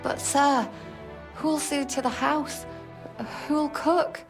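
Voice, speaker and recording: A woman's voice: high voice, steady tone, slow pace, music in the background. A woman playing a meek, anxious medieval maidservant in a video game, weak and in pain. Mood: pained, worried. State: weak, pained. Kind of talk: video game cutscene acting.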